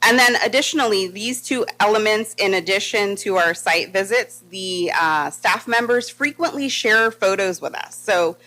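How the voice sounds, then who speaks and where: A woman's voice: mid-range voice, expressive, normal speed, hardly any pauses, sharp tone, low-quality recording. Upbeat woman presenting to a board. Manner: upbeat, friendly, with light laughs. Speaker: communications consultant presenting. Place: meeting room.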